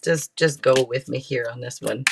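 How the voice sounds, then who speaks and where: A man's voice: medium pitch, normal speed, vocal fry, noisy background. Chatty, playful man reading tarot live. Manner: chatty, rambling, playful asides to viewers. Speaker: tarot reader and livestream host. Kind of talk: casual live tarot reading with chat asides.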